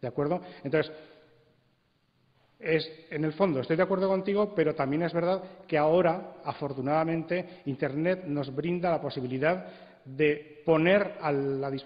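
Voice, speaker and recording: A man with a slightly high voice makes a measured, explanatory argument at a public discussion. He sounds like he is in a big reverberant hall, and the recording is somewhat dull. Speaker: congress panel speaker. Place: large indoor congress hall.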